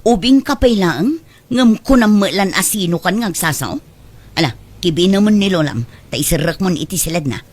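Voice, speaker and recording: A woman's voice: lowish voice, expressive, normal speed, a little mellow. A woman playing a short-tempered middle-aged mother in a radio drama, scolding and exasperated. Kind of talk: Ilocano radio drama acting.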